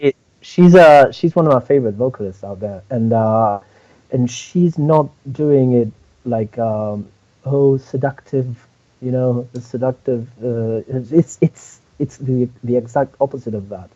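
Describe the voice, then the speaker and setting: Spanish male musician guest, mellow tone, halting delivery, muffled lo-fi line. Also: medium pitch. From Spain. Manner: hesitant, many fillers and restarts. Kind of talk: casual interview chat.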